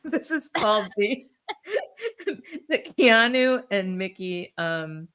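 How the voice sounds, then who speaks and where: A woman co-hosting a podcast, chatting casually in a slow, drawn-out, wry way. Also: mid-range voice, big pitch swings, fairly bright tone, clean tone. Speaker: podcast co-host. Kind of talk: casual chat.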